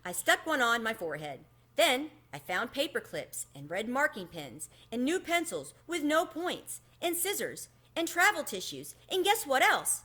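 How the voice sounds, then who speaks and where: Animated, bright-voiced woman reading a children's story. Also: high-pitched, measured pace, hardly any pauses, breathy edge. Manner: animated, acts out the dialogue. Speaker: reader of a children's book. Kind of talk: expressive children's read-aloud.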